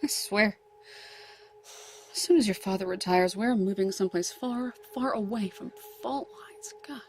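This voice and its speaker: A woman's voice: mid-range voice, a little slow, darkish tone, a little hiss or hum, natural voice. An actress playing an overbearing, anxious middle-aged mother in an audio drama. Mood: shaken.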